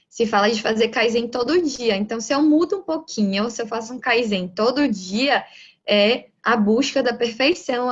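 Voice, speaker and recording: A woman from Bahia with a Bahian Brazilian accent, giving a lecture on management and often adding rhetorical tag questions. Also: on the high side. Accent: Bahian Brazilian Portuguese. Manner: explanatory, frequent rhetorical "né?" tags. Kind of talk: online lecture. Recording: dull lo-fi audio.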